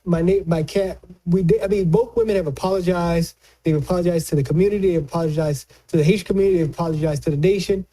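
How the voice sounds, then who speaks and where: A man's voice: high voice, fast talker, hardly any pauses, warm tone. A man giving casual political commentary, speaking emphatically and repeating phrases for stress.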